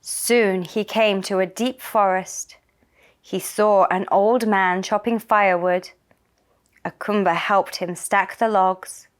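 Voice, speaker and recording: A woman narrating a children's puppet-show story in simple, deliberate phrases. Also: medium pitch, steady tone, smooth.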